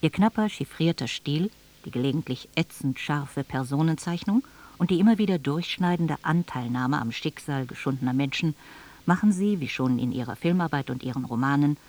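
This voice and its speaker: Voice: medium pitch, lively intonation, normal speed, darkish tone. A radio presenter reading a scripted introduction in a formal, literary manner.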